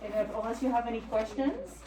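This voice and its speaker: A woman's voice: on the high side, animated, fast, warm tone. A woman giving an informal presentation, talking in a conversational, rambling way. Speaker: hospice care representative giving a presentation. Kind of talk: informal presentation with group discussion. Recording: echoey room.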